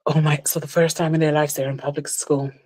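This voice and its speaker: A middle-aged woman chatting as a guest, telling stories lively and leaping up in pitch when she quotes people. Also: a little fast. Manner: animated storyteller, jumps high when quoting others. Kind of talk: casual chat.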